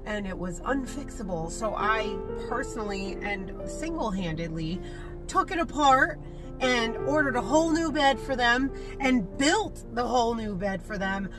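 A woman in her thirties with a congested, sniffly voice, chatting through a casual life-update vlog from a parked car into a handheld mic. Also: mid-range voice. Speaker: vlogger. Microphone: handheld karaoke microphone.

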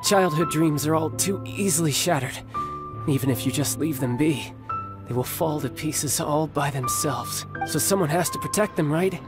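An actor playing a cocky, devoted young warrior and big brother in a video game, speaking with smooth, theatrical confidence. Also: male voice, on the high side, normal speed, lightly acted. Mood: proud, reflective. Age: young adult. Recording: background music over studio voice. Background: loud game music.

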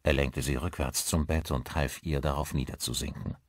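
A man's voice: rumbling low, steady tone, normal speed, no room sound, studio quality. A man reading a suspense novel aloud as a scripted audiobook narration.

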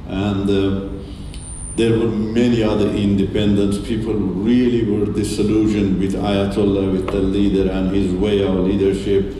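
A middle-aged man whose first language is Turkish, giving an earnest, halting testimony talk in a large church hall with a handheld mic. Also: lowish voice, flat delivery, mellow. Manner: earnest, halting, with many restarts. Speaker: guest speaker. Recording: echoey hall, distant mic, faint hiss.

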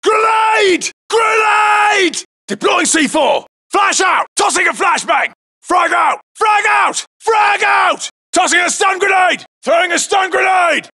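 An actor playing a tough British SAS soldier in a military shooter game, yelling urgent, clipped battle callouts. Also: male voice, very high-pitched, expressive, slow pace, creaky, a faint crowd, full character voice. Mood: urgent, shouting.

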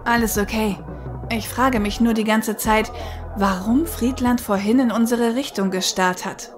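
A woman's voice: a little high, steady tone, unhurried, close to an everyday voice. A woman playing a blunt, wry shadowrunner in a German audio drama. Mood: scornful. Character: dry, sarcastic female shadowrunner, protective big sister. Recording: music bed behind the voice.

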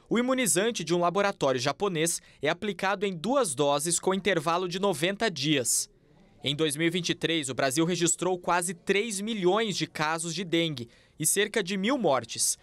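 A man's voice: sits high, fairly bright tone, no echo. A young man, narrating a scripted TV news report.

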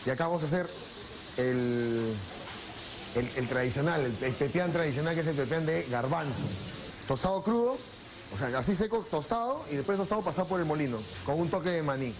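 A middle-aged Peruvian man, a chef, calmly explaining his recipes in a restaurant kitchen and recalling home cooking. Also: fairly high pitch. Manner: unhurried, repeats words while working at the stove. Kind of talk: explaining recipes while cooking, then reminiscing. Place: restaurant kitchen, then dining room. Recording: noisy restaurant recording.

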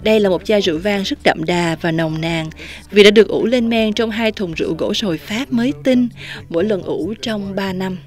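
A young woman hosting an outdoor interview, holding a handheld mic and translating her guest's words with lively delivery. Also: mid-range voice, a little fast. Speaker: host. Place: outdoor patio at a winery.

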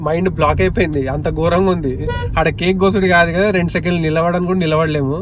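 A man's voice: on the high side, steady tone, rapid-fire, noisy background. A young man, chatting to camera in a casual vlog with running commentary. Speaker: vlogger.

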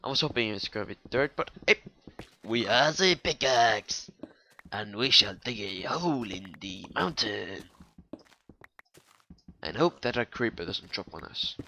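A man's voice: lowish voice, expressive, measured pace, long pauses, pushed and bright, a little hiss or hum. A young man with a non-native English accent, rambling and swearing casually as he comments on his own gameplay. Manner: rambling, swearing, joking to viewers. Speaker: gaming YouTuber.

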